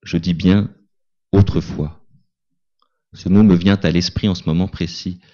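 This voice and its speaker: Man, very deep voice, calm deliberate first-person reading, muffled lo-fi sound. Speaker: reader at a literary tribute. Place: small cinema salon. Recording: dull lo-fi event recording.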